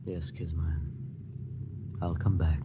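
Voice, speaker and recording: A man's voice: rumbling low, flat delivery, slow pace, a little hiss or hum. An actor playing an earnest, anxious young man who also narrates, in a dramatic 1940s radio style. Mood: subdued, weary. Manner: tense, dramatic, urgent delivery. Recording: muffled 1940s radio broadcast with music.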